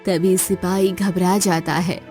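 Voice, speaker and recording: A woman's voice: lowish voice, level tone, slow pace, dark tone, soft background music. A woman working as a narrator, reading a scripted moral story.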